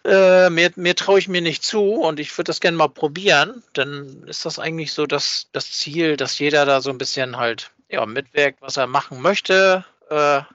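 A man co-hosting a podcast in casual chat, halting, repeating words and adding tag questions. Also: on the high side, fairly bright tone, no echo, highs cut off. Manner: halting, repeats words, seeks agreement with tags. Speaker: fan podcast co-host.

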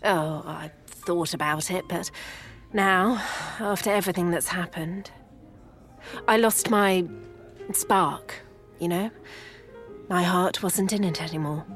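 An actress playing a grieving, bitter wife and lapsed writer in a 1930s noir audio drama, articulate and wry. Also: female voice, on the low side, slow, pauses often, a bit bright, faint music behind. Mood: wry, wistful. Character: grieving, bitter English writer wife in 1937, sharp-tongued. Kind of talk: scripted gothic noir audio drama acting.

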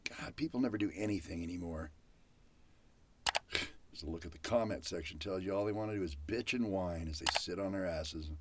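A man's voice: deep voice, a little fast, close to an everyday voice. Man acting a grumbling loner, very noisy recording. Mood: weary, irritated. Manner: muttering to himself, exasperated, sweary. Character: weary, jumpy, grumbling man living alone with gadgets. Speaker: actor. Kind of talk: old-time radio style horror audio drama monologue. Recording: loud background noise.

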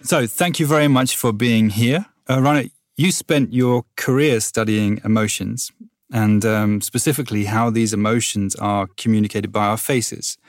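A man's voice: fairly low pitch, fairly even intonation, normal speed, dry recording, soft background music. A man hosting a podcast, narrating a scripted intro and then asking questions conversationally with fillers. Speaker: podcast host.

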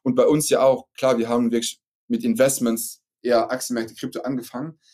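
This is a man with a Luxembourgish accent whose first language is French, chatting as a podcast guest. His voice is dark and mellow. He pauses often and circles back with fillers and restarts as he explains. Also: medium pitch, little pitch movement. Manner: halting, many fillers and restarts. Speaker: startup founder guest. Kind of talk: casual podcast interview.

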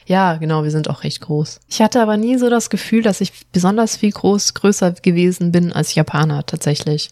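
A young woman chatting casually, full of fillers and quick laughs. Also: lowish voice, warm tone, no echo, studio quality. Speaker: co-host. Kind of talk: casual chat. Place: living in Japan.

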